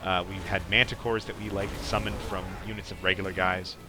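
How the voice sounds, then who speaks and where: A man's voice: sits low, flat delivery, a little fast. A man casually commentating on gameplay as a podcast host, in a rambling, hesitant way. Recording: clearly noisy background behind voice.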